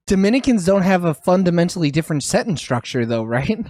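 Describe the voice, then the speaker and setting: Man, podcast co-host, blunt, swears a lot, slightly high voice, dry close sound. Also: big pitch swings, quick pace, darkish tone, no room sound. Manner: blunt, emphatic, swears freely. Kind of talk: casual chat.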